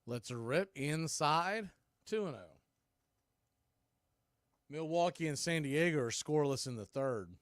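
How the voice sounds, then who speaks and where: An American man doing live baseball play-by-play in clipped, punchy calls with pauses, mixed with casual fan chat. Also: a little high, animated, clean tone, no echo, studio quality.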